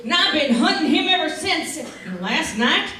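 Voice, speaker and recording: A woman's voice: sits high, big pitch swings, moderate pace, sharp tone, full character voice. A woman playing a brash, hot-tempered, boastful gunslinger with a folksy drawl in an old-time radio western. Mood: indignant, wry. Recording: very echoey room, distant mic.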